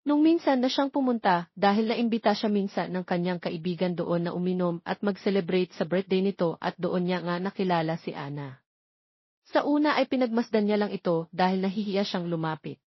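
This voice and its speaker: A woman's voice: fairly low pitch, a little fast, slightly rough, no echo. A woman with a Filipino accent reading a scripted romance story as an audiobook narrator. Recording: dull lo-fi audio.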